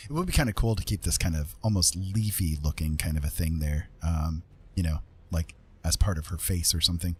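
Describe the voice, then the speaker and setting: Male art streamer, deep husky voice, casual rambling delivery, hedges and thinks aloud. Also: brisk, few pauses. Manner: laughs often. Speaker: livestream host, digital artist. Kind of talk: casual chat while making art.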